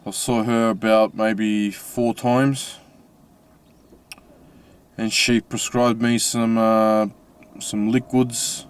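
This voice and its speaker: An Australian man with a slightly mixed accent from his Italian family, talking casually from inside his car with many pauses and restarts. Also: lowish voice, little pitch movement, smooth. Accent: Australian with Italian-family influence. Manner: halting, many fillers and restarts. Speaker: vlogger. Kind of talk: casual personal vlog.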